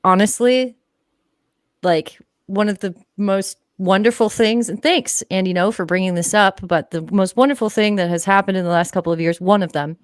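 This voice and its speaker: A woman's voice: mid-range voice, moderate pace, clear, resonant tone, no echo, studio quality. A woman chatting casually as a live-stream commentator, blunt and sardonic, swearing freely.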